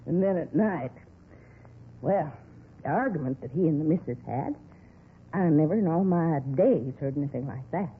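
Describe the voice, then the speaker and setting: A man's voice: a little high, lively intonation, normal speed, pauses often, breathy edge, narrow, tinny audio, heavily acted. An actor playing a nosy, gossipy older woman who rambles confidingly, in a 1950s radio drama style. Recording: thin, noisy vintage radio recording.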